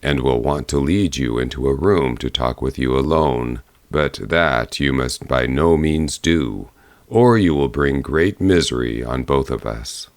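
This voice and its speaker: Guy doing a big grave fairytale bear, super low voice, commanding and careful, dry close sound. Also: no room sound. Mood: grave warning. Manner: grave, measured, warning. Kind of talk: fairy-tale audiobook character voice.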